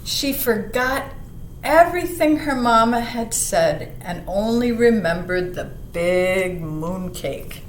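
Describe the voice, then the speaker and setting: A woman reading a picture book aloud to children, expressive, putting questions to her young listeners and dropping into hushed asides. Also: medium pitch, slow pace. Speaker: children's library storyteller. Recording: roomy echo, some background noise.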